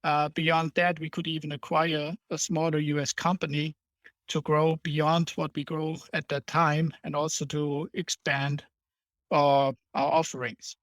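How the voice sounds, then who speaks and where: A man's voice: on the high side, little pitch movement, a little slow, fairly bright tone, no echo. A middle-aged man from Germany with a German accent, a podcast guest explaining his consulting work in an earnest, conversational way. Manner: earnest, explanatory, with small restarts. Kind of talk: conversational interview answers.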